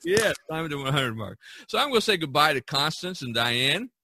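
A man's voice: on the high side, very animated, fairly quick, sharp tone, slight background noise. A man hosting a casual podcast about art, talking in a rambling, chuckling way. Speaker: podcast host and painter.

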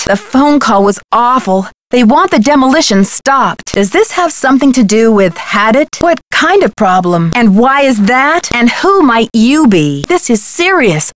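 A young woman voice actor playing a plucky, sharp-witted teenage girl detective in a video game, articulate and inquisitive. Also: high-pitched. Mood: urgent, worried. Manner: crisp, articulate, spirited, inquisitive.

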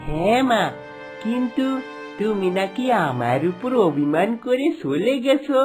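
Animated, bewildered village husband. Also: female voice, medium pitch, measured pace, soft background music. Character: bewildered village husband, mother-loving adult son. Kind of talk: cartoon voice acting.

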